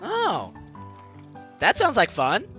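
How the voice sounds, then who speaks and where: Lively, bright young woman reading a game. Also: mid-range voice, takes it slowly, highs cut off, soft background music. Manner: playful, theatrical reading, chatty asides. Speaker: gaming YouTuber, host. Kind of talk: let's play, reading game text aloud with commentary.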